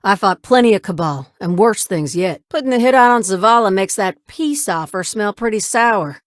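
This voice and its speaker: Game role: actress as spirited young female mechanic-pilot, folksy and familiar, mid-pitched, very dry recording. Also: expressive, normal speed, no echo, lightly acted. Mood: resolute, frank. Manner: folksy, casual, familiar banter. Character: spirited, warm, sassy young woman pilot and ship mechanic. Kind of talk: video game character dialogue.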